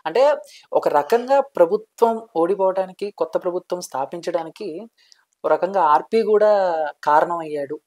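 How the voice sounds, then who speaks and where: A man working as an interviewer, asking casual, halting questions with some English words mixed in. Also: sits high, a little mellow.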